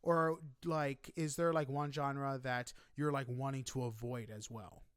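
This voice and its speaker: A man's voice: medium pitch, little pitch movement, unhurried, clean tone, no echo. A man hosting a podcast interview in a casual, chatty way, effusive and informal in his reactions.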